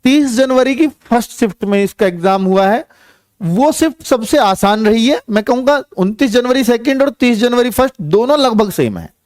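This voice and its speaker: A man's voice: very high-pitched, animated, moderate pace, darkish tone, no echo, clean studio sound. A man giving an informal explanatory lesson to students, repeating points and checking that they follow. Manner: explanatory, repetitive, checks listeners follow with tag questions. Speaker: exam coaching teacher.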